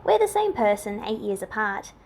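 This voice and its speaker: A woman's voice: medium pitch, sing-song, normal speed, a little mellow, slight background noise. Woman doing a young heroine, kindly, self-assured, cheerful, audiobook character. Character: bright, confident, kindly teenage girl hero.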